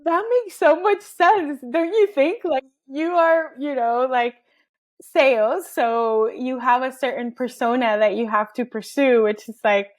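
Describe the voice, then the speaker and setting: A woman with a fairly high voice hosts a relaxed interview. She asks curious questions and lets them ramble into follow-ups. Her tone stays conversational throughout. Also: unhurried. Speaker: podcast host.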